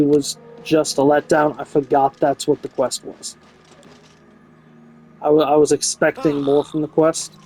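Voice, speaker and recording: A man with a slightly high voice talks through a casual game review. His delivery is frank and opinionated but fairly even in tone. Music plays softly behind him. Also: poor audio.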